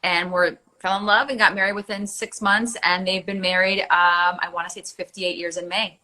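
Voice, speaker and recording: Forties woman guest, bright and bubbly, chatty, on a video call. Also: lowish voice, flat delivery, brisk, low-quality recording. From United States. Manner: bubbly, laughs often. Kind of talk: casual interview chat.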